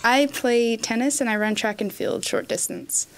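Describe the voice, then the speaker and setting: An eighteen-year-old woman from Germany, a student, introducing herself cheerfully and briskly. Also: on the high side, smooth, poor audio.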